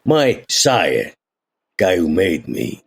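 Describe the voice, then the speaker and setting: A man voicing a gruff, laid-back male vampire in a tabletop game, gravelly and husky, with slangy, folksy, hesitant phrasing. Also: low voice, wildly swooping pitch, very slow, full character voice. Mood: wry, guarded.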